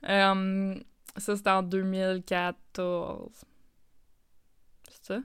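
Smooth-voiced Franco-Ontarian woman, dry sound. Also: medium pitch, completely flat delivery, extremely slow, a bit bright, no echo. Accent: Franco-Ontarian French. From northern Ontario, Canada. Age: 20s. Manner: playful and giggly. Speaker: podcast co-host, marketing manager. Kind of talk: casual podcast chat.

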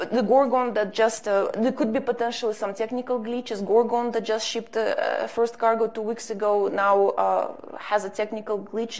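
A woman speaking on a conference panel, answering questions carefully with many fillers and restarts. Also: mid-range voice. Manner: hesitant, careful, many ums and self-corrections. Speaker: panel speaker on energy markets.

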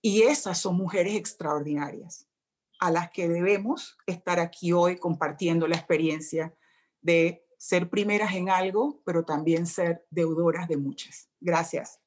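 A woman speaking on a panel, measured and heartfelt. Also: fairly low pitch, steady tone, darkish tone. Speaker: panelist, former minister. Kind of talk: panel discussion remarks.

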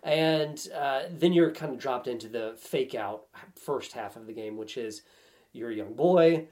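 A man talks casually about video games in a mid-pitched voice. His manner is wry and joking, like a guest on a relaxed talk podcast. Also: measured pace.

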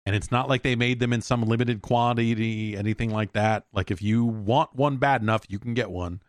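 A man's voice: mid-range voice, a little fast, no room sound, clean studio sound. A man hosting a podcast solo, chatting casually in a rambling, opinionated, profane way. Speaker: podcast host answering listener mail.

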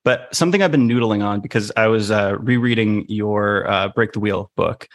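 A fairly low-voiced man hosts a podcast conversation, musing through his questions with "uh" and "like" as he goes. His voice is dry and close to the mic. He sounds easygoing and curious. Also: moderate pace, no room sound. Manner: thinking aloud, hesitant, with fillers and analogies. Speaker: podcast host. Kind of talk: conversational interview.

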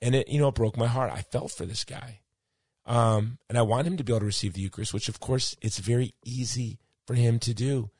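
Hoarse man hosting a podcast. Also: mid-range voice, steady tone, a little fast, darkish tone, no echo, studio quality. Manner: rambling, personal storytelling with frequent ums. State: losing his voice, hoarse. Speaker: podcast host. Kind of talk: casual monologue wrapping up a podcast.

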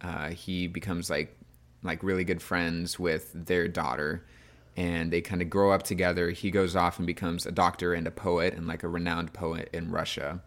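A man with a low voice hosts a film discussion. His phrasing is loose and conversational, like someone chatting with friends while steering the show. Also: level tone, moderate pace, fairly bright tone. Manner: informal, loose phrasing full of hedging "like". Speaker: podcast host.

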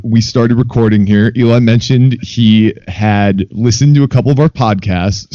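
Guy cohosting a podcast, very mellow, casual and stop-start, dull lo-fi audio. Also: fairly low pitch, no echo. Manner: informal, stop-start with repeated words. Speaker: podcast co-host. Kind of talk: laid-back casual interview chat.

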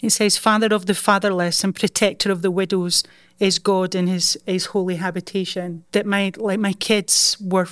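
A woman's voice: mid-range voice, level tone, moderate pace, no echo, clean studio sound. A woman co-hosting a faith podcast, speaking in a thoughtful, reflective way. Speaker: podcast co-host.

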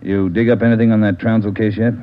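An actor playing a brusque, impatient police chief giving orders in a 1950s radio drama. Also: male voice, fairly low pitch, level tone, fast, lightly acted. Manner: brusque, commanding. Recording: tinny old radio broadcast.

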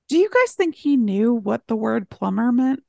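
A woman's voice: a little high, big pitch swings, slow pace, creaky, warm tone, no room sound, highs cut off. A woman co-hosting a podcast, talking casually about a film. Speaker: podcast co-host.

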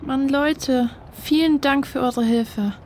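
A woman's voice: high-pitched, takes it slowly, a little mellow, slight background noise. A woman acting a determined, trusting child who leads friends in a German children's radio play, earnest and decisive. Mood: earnest, grateful.

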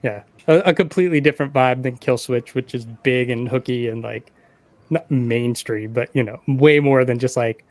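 A man's voice: medium pitch, normal speed, darkish tone, no echo. A man in a casual interview chat as the podcast host.

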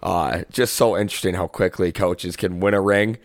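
Deep-voiced young podcast co-host. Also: male voice, fairly even intonation, normal speed, dry recording, clean studio sound. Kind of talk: casual sports chat.

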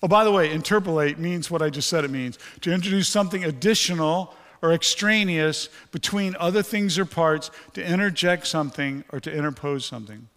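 A man's voice: fairly high pitch, a little fast, hardly any pauses, a bit bright. A male pastor preaching, his voice weakened after a stroke, candid and joking with the congregation. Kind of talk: sermon. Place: church sanctuary. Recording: long hall reverb.

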